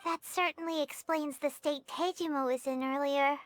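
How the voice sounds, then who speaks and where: Woman voicing a squeaky, childlike fairy sidekick. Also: steady tone, moderate pace, faint music behind, natural voice. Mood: sympathetic. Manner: chirpy, refers to self in third person. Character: tiny childlike floating fairy companion, excitable and chatty. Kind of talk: video game voice acting.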